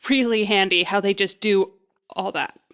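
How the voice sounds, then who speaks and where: A woman's voice: mid-range voice, fairly even intonation, normal speed, a bit bright, clear, resonant tone, natural voice. A woman playing a nervous, jokey young woman who rambles and puts herself down as she bluffs through an interview in an audio drama. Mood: bluffing. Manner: rambling, self-deprecating, flustered. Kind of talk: audio drama acting, conversational comedy.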